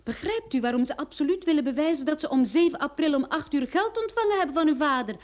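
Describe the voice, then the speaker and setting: An actress playing a sharp, confident female private detective in a radio play, explaining things in an assured, theatrical way. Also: high-pitched, expressive, fast talker. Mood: confident, amused. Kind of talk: Dutch-language radio drama acting.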